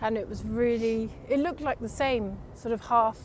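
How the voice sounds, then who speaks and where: A woman's voice: fairly high pitch, normal speed. A woman from England, an archaeologist, talking in a hesitant, rambling way with many fillers while walking outdoors in a city park. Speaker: archaeologist guest. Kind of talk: casual walking interview. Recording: outdoor street noise and wind.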